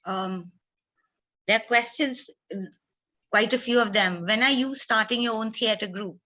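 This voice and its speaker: Bright-voiced woman moderating a Q&A. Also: on the high side, unhurried, poor audio. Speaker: moderator reading out audience questions. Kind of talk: reading questions in a live Q&A.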